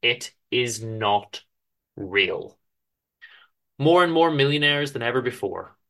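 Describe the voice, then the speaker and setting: Bright, forceful man reading dramatically. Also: medium pitch, animated, slow, creaky. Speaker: author reading own story aloud. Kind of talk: dramatic literary story reading.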